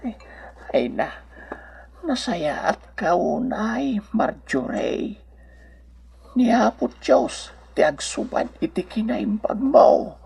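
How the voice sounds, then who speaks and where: A woman acting a humble, pious, grateful elderly neighbor with a husky, creaky voice in a radio drama. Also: medium pitch, very animated, slow pace, long pauses, a little mellow, full character voice.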